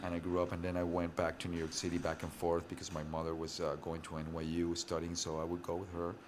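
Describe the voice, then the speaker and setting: A man's voice: deep voice, monotone, fast talker, background noise. A man in his forties from Venezuela, a guest telling his life story in long, run-on stretches full of restarts. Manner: talks in long run-on stories with many restarts. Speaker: interview guest, DJ and rapper. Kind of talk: rambling personal storytelling.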